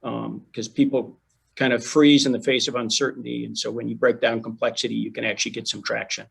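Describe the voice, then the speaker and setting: A middle-aged man with a heavily gravelly, husky voice introduces himself as a chief executive on a panel. He talks briskly and confidently, rattling off a few facts before cutting himself short. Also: medium pitch, little pitch movement, a bit bright.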